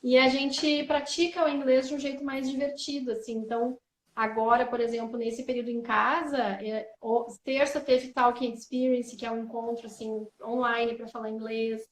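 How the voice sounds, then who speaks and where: Coach woman, reflective live chat, bright high pitch, roomy sound. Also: fairly even intonation, moderate pace, slight background noise. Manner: warm reflective, many fillers like "né" and "assim". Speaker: guest coach.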